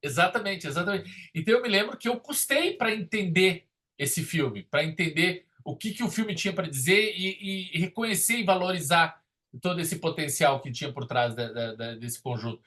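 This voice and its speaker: A man's voice: high voice, animated, normal speed, pushed and bright. A man in his forties with a southern Brazilian accent, co-hosting a casual film discussion, speaking emphatically and often rephrasing himself. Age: 40s. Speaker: podcast co-host and film critic.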